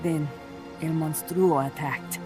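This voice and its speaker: A woman's voice: low-pitched, steady tone, takes it slowly. An actress voicing a blunt, streetwise young woman guerrilla fighter in a game cutscene. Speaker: game character. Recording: game cutscene with music behind.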